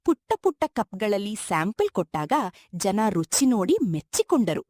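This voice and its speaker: Expressive woman narrating a story. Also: medium pitch, normal speed, no echo, clean studio sound. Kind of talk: scripted moral-story narration.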